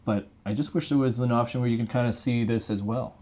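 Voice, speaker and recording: A man's voice: medium pitch, fairly even intonation, fast talker, darkish tone. A man chatting casually as he co-hosts a gadget review, explaining things informally. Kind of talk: casual product review chat.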